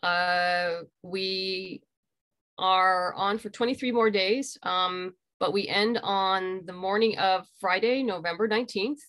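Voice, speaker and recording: A middle-aged woman answers as a guest on a video call. Her pitch is average for a woman. She explains in a casual, halting way, as if thinking aloud. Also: fairly even intonation, a bit bright. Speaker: interview guest, audio drama creator. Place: small room at home.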